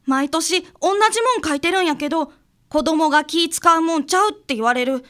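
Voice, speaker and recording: A woman's voice: high voice, a little fast, bright, forceful tone. A woman playing a sincere, insistent second-grade girl in a radio drama, speaking childlike Kansai dialect. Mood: earnest. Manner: childlike, earnest, matter-of-fact. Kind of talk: community radio drama acting.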